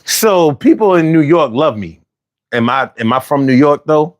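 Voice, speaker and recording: A man's voice: fairly high pitch, expressive, normal speed. A man in his forties from Philadelphia with a Philly accent, hosting a casual, argumentative monologue full of slang and restarts. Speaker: host.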